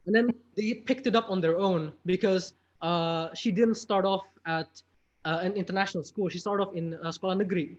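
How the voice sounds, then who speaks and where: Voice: mid-range voice, steady tone, fairly quick. Hesitant young guest, muffled recording. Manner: hesitant, full of "like" and "you know", repeats words. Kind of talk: casual interview. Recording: dull lo-fi recording.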